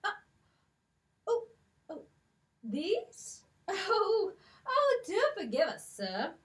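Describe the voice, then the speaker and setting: A woman's voice: extremely high pitch, huge pitch swings, extremely slow, far from the mic, full character voice. A woman voicing Molly, a brave, clever poor young girl with a plucky, cheeky way of talking in a children's puppet show. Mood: earnest.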